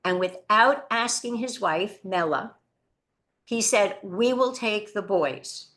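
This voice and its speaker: A woman's voice: medium pitch, animated, slow pace. Earnest woman telling history. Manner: earnest storytelling, drops quietly at somber moments. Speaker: Holocaust educator. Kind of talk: lecture presentation.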